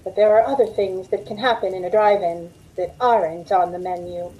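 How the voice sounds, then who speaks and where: A woman's voice: fairly low pitch, steady tone, brisk, lo-fi sound, natural voice. A middle-aged woman playing a weary, wistful 1940s Hollywood carhop who confides her story in old-time radio drama style. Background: rain.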